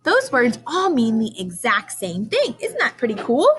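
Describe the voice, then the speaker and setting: A woman's voice: on the high side, huge pitch swings, moderate pace, fairly bright tone. Woman teaching young children, noisy recording. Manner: prompting with questions, enunciating key sounds and words. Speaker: early-grades teacher. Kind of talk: read-aloud lesson for young children.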